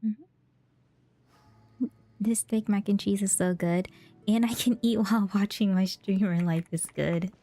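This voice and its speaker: A woman streaming a game with casual, relaxed commentary, thinking aloud as she plays. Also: mid-range voice, takes it slowly, long pauses.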